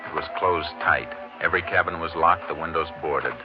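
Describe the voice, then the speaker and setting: An actor playing a steady, methodical Texas Ranger investigator in a terse, measured way, in 1950s radio drama style. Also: male voice, rumbling low, over music. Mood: narrating. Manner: terse, measured, matter-of-fact. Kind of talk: 1950s radio western detective drama acting. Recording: dull, noisy old 1950s radio recording.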